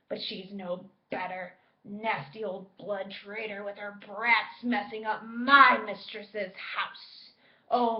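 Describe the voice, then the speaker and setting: Bitter old male house elf, hoarse and grumbling, read by a woman, slightly dull audio. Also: echoey room. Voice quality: hoarse, deep muttering. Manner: slow, grumbling, spiteful.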